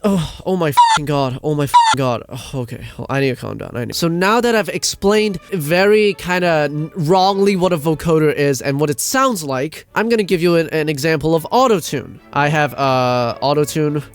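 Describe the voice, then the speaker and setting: A man's voice: on the high side, very animated, normal speed, hardly any pauses, faint music behind. A man giving a casual ranting commentary as a video host, exasperated, emphatic and sweary, often repeating himself for stress.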